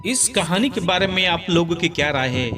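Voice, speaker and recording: A man's voice: high-pitched, animated, normal speed, pushed and bright. A man narrating a scripted, sentimental moral story in an earnest, dramatic way. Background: background music.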